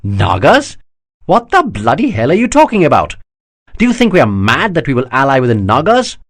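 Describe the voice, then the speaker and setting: A man's voice: mid-range voice, huge pitch swings, normal speed, no room sound, voice pushed up. A man voicing an indignant young prince. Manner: indignant, heated. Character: indignant young male prince defending his people. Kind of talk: audiobook character voice.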